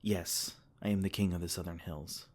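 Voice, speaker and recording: A man playing a deep, gentle fae king. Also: steady tone, moderate pace. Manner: soft-spoken, reassuring, with small laughs. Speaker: ASMR roleplay performer. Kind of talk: ASMR comfort roleplay.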